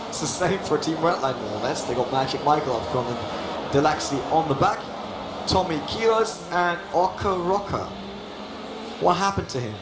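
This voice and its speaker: A man giving live race commentary with playful banter, his voice going hoarse. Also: on the high side, lively intonation, moderate pace. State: losing his voice. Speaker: esports commentator. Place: live event arena. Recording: echoey arena with loud noise.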